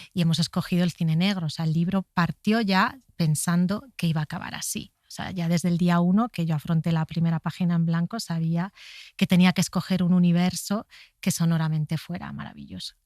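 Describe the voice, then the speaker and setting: Low-voiced woman in interview. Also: little pitch movement, moderate pace, dry recording, studio quality. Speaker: guest writer. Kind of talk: conversational interview.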